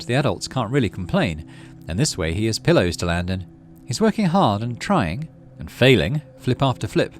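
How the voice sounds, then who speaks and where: A man playing through a video game, reading the story aloud and musing to himself as he decides. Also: medium pitch. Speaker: gaming video host. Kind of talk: game playthrough commentary and read-aloud narration. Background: game music.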